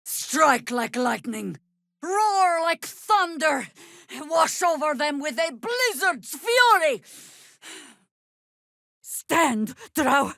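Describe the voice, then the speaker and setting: A woman's voice: high-pitched, very animated, drawn-out words, vocal fry, pauses often, a bit bright, no room sound, clean studio sound, full character voice. A woman playing a fierce female spellcaster in a video game, declaiming incantations and battle cries theatrically. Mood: fierce, commanding.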